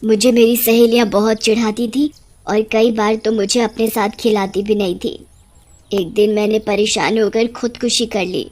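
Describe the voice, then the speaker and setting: A woman voicing a small witch ghost in a cartoon story, sympathetic and quietly vengeful, speaking in a measured, reassuring way. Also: on the high side, fairly even intonation, close to an everyday voice. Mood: sad, confiding. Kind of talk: animated cartoon story dialogue.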